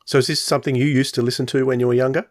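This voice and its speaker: A man's voice: medium pitch, flat delivery, rapid-fire, no room sound, clean studio sound. Podcast host guy, medium voice, casual chat.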